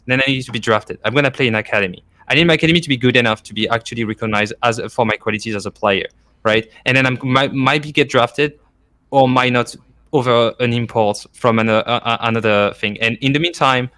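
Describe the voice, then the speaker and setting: A man's voice: medium pitch, fast, bright, forceful tone. A man from France with a French accent, explaining his view emphatically in casual discussion as a podcast guest. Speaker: former esports coach, podcast guest.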